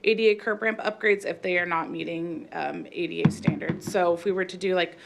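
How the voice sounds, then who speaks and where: A woman's voice: fairly low pitch, steady tone, a little fast, vocal fry, heavy background noise. An American woman on city staff explaining plans and answering questions in a meeting.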